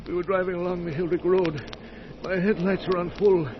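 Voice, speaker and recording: Actor as a high-voiced, shaken crash survivor. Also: male voice, little pitch movement, lightly acted. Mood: dazed, grieving. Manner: halting, melodramatic, anguished. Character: shaken, injured crash survivor, haunted and frightened. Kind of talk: old-time radio mystery acting. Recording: muffled, noisy old radio recording.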